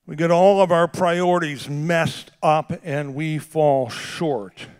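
A middle-aged male pastor with a big voice, preaching a sermon that swings from quiet, conversational asides to shouted, drawn-out emphasis. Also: a little high, clear, resonant tone. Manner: drawn-out emphatic phrasing on key words.

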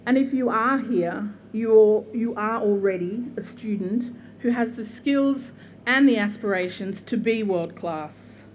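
A middle-aged Australian woman from Queensland, a constitutional lawyer, giving an earnest, measured commencement speech at a podium microphone outdoors. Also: mid-range voice, a little hiss or hum. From southeast Queensland, Australia. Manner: earnest, measured, with frequent ums and gestures. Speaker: guest speaker, constitutional lawyer. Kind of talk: commencement address. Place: outdoor university courtyard.

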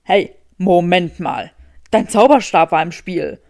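A woman's voice: fairly low pitch, takes it slowly, darkish tone, pitched below the performer's own voice. A woman voicing a cocky, sardonic young man with a sarcastic drawl, in an audiobook story. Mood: teasing accusation.

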